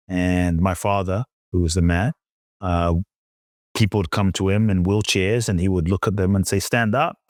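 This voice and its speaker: A middle-aged man from London, a spiritual teacher, telling his story reflectively as an interview guest in measured, emphatic short phrases with some repetition. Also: on the low side, no echo, studio quality. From London, England.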